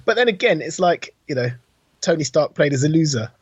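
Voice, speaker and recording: A man co-hosting a podcast, chatting casually about films in an anecdotal way with a slightly husky voice. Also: fairly high pitch, animated, brisk, dull audio, a little hiss or hum. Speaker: podcast co-host. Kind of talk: casual film chat.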